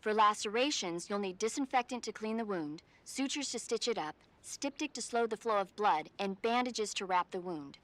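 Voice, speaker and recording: An actress playing a medical advisor on the radio, calmly and patiently talking an injured agent through treating his wounds. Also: mid-range voice, normal speed, few pauses, lightly acted. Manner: calm, patient, instructive. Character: calm female field medic on radio. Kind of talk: video game cutscene acting.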